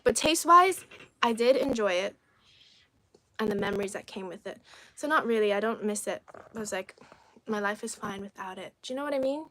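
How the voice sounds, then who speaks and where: A woman from China whose first language is Cantonese, chatting casually on a livestream into a phone, reading viewer comments aloud and often dropping to soft half-whispered asides. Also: medium pitch, lively intonation, brisk, low-quality recording. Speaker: livestream host.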